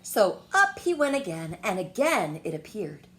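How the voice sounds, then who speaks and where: A woman reading a children's storybook aloud in an expressive storytelling manner. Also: medium pitch, unhurried. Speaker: storybook reader. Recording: some background noise.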